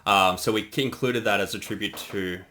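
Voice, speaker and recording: A man's voice: lowish voice, steady tone, a little fast, bright, forceful tone, a little hiss or hum. A man co-hosting a casual commentary on a parody series he directed, rambling with lots of fillers and restarts. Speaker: co-host of a creator commentary.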